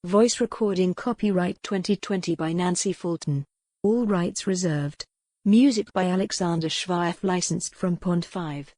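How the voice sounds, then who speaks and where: A woman's voice: lowish voice, unhurried, mellow, no echo. A woman reading scripted romance fiction as an audiobook narrator.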